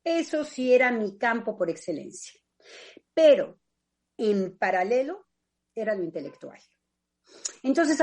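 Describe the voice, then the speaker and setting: A Mexican woman with a Mexican accent, a psychoanalyst talking on a radio panel in a thoughtful, personal way with frequent pauses to search for words. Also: on the high side, darkish tone. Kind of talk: reflective radio discussion.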